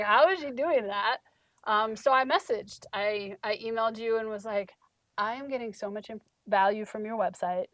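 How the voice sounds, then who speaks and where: A woman's voice: medium pitch, no echo. A woman hosting a casual, reflective one-on-one conversation. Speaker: conversation host.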